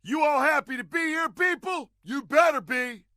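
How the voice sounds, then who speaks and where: A man's voice: very high-pitched, moderate pace, sharp tone, no room sound, studio quality. An actor playing a tough, cocky squad leader in a military video game, swaggering and challenging his troopers.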